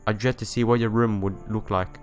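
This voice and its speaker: A man's voice: on the low side, fairly even intonation, fairly quick. A man reading visual novel narration and dialogue aloud as a let's play host, stumbling over and rereading the odd phrase, with game music behind him.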